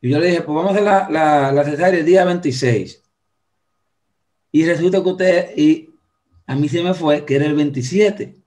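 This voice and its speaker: A man's voice: on the high side, moderate pace, darkish tone. A Dominican man hosting an interview over a video call, asking questions and telling family anecdotes in a chatty, rambling way. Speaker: host. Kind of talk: casual interview chat. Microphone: video call, earphone mic.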